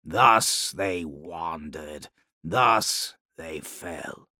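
A man playing a grave, proud warlord khan in an audiobook, contemplative, with measured and formal phrasing. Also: low-pitched, sharp tone, no echo, clean studio sound, pitched below the performer's own voice, full character voice. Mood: pondering.

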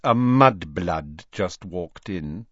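A haughty teenage bully from a storybook, acted out by a male narrator. He drawls and sneers with spite, the pitch swinging widely. The recording is dry. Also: lively intonation, very slow, fairly bright tone, no room sound, highs cut off, exaggerated voice. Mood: sneering.

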